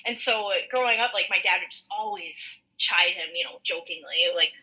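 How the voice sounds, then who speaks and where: A woman's voice: fairly low pitch, normal speed, bright, forceful tone, low-quality recording. A woman in her twenties with a Minnesotan accent, chatting casually and enthusiastically on a podcast in a rambling way, recorded over an internet call. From rural western Minnesota, USA. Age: 20s. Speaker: podcast co-host.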